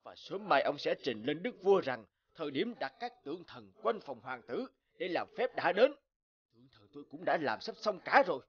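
A man's voice: a little high, very animated, normal speed, fairly bright tone, highs cut off. A man playing a scheming, self-serving middle-aged master sculptor in a cartoon fairy tale, authoritative and conniving. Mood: anxious, conniving. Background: background music.

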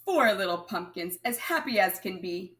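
A woman reading aloud and counting as a primary teacher, addressing young children in an instructional way and pausing for answers. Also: medium pitch, lively intonation, a bit bright. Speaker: primary school math teacher. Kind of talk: children's read-aloud lesson, counting along.

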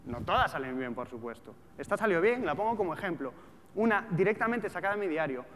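High-voiced Spanish man presenting in an echoey hall. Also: quick pace. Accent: European Spanish. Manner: colloquial, animated, throws rhetorical questions at the audience. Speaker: speaker, trader and trading educator. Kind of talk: informal presentation to a live audience. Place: large auditorium stage with seated audience. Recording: echoey hall with background noise.

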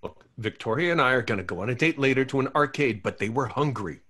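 A man's voice: mid-range voice, lively intonation, quick pace, pushed and bright. A man reading a hopeless romantic lead at a table read, with a sheepish, self-pitying comic delivery.

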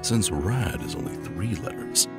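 A man voicing a weary, scholarly skeleton scientist father in a comic dub, speaking formally and deliberately, often breathily. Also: low-pitched, animated. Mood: gentle, patient. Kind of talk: dramatic comic dub acting. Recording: loud music behind the voice.

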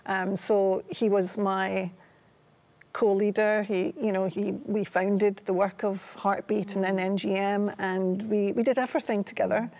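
A woman's voice: medium pitch, level tone, unhurried, darkish tone. A woman in her sixties with a Scottish accent, sharing personal testimony as a guest on a studio talk show, in a conversational, hesitant way. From Ayr, Scotland. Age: 60s. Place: TV studio, seated at a table.

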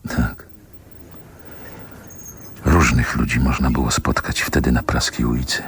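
An actor playing a nostalgic narrator in a radio play, measured, lyrical and reflective. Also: male voice, extremely low pitch, flat delivery, a little hiss or hum. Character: male narrator, nostalgically recalling a Warsaw boyhood. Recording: music behind the narration.

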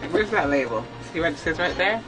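A middle-aged woman from Barbados, a theatre makeup artist who explains her work step by step in a lively way and laughs often. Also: fairly low pitch, fairly quick, music in the background. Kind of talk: demonstrating work while talking to the camera. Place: small backstage dressing room, indoors.

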